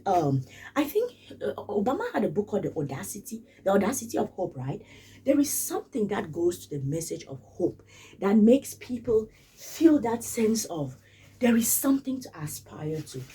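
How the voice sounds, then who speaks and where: A woman's voice: lowish voice, warm tone, slightly rough, distant mic. A woman from Cameroon, giving casual spoken commentary in an emphatic way and repeating phrases for stress. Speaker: vlogger.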